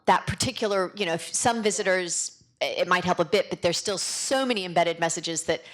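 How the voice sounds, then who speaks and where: A woman's voice: on the low side, fairly quick, a bit bright. A woman in an audience asking a spontaneous, exploratory question, thinking it through aloud.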